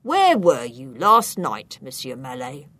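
A woman's voice: extremely low pitch, huge pitch swings, takes it slowly, a bit bright, no echo, pitched below the performer's own voice, exaggerated voice. A woman voicing a stern, thin policeman in a storybook, speaking with formal, firm authority as he interrogates. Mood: stern, accusing.

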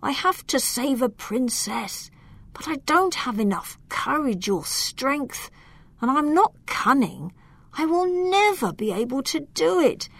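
A woman voicing a timid, easily frightened young male knight in a storybook, high and trembling. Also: a bit bright, no echo, exaggerated voice.

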